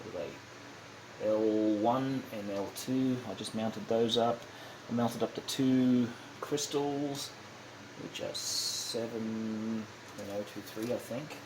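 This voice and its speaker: A man giving a casual, unscripted hands-on demonstration, halting and thinking aloud as he goes, often correcting himself. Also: fairly low pitch, steady tone. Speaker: hobbyist demonstrating a kit build. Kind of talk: casual unscripted how-to narration. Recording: clearly noisy amateur home recording.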